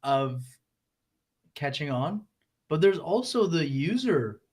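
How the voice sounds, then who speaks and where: A man hosting a casual podcast, relaxed and chatty, dropping "like" and "right?" often. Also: fairly high pitch, takes it slowly, husky. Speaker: podcast host.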